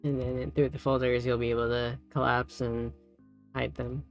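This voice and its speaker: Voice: mid-range voice, level tone, moderate pace. A tutorial host talking casually and thinking aloud, a little unsure, while working through the steps live. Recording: music playing behind.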